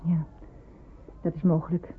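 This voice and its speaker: An actress playing a sober, compassionate nursery worker in a radio drama, measured and guarded in her delivery. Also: deep voice, completely flat delivery. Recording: thin phone-like band, noisy old radio play.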